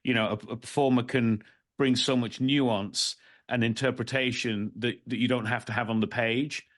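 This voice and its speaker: A man's voice: medium pitch, steady tone, moderate pace. A man hosting a podcast discussion in a casual, conversational style. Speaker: podcast host and session moderator. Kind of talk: casual moderated discussion on a video call.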